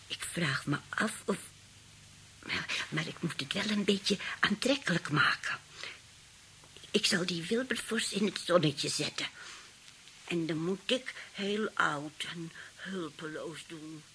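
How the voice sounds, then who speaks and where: An actress playing a feisty, scheming old great-aunt in a radio play, husky and indignant, grumbling and outspoken. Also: female voice, on the low side, fairly bright tone, a little hiss or hum, heavily acted. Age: older.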